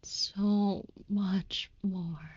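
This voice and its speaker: A woman voicing a devoted, youthful but centuries-old vampire lover in an intimate audio roleplay, speaking softly with breathy, tender, archaic formality. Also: mid-range voice, fairly even intonation, very slow, no room sound, muffled recording, full character voice. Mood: devoted, earnest.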